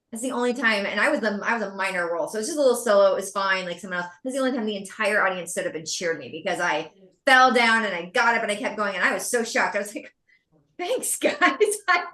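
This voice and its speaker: A woman guest on a podcast telling a story in a lively, self-deprecating way. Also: medium pitch, brisk. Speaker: podcast guest, money speaker, former performer. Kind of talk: casual storytelling chat. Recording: echoey room.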